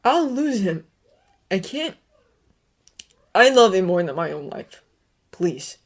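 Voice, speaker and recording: A woman's voice: mid-range voice, big pitch swings, slow pace, full character voice. A woman voicing an anguished, bitter grown man, a brooding teacher, with intense pleading outbursts. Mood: desperate.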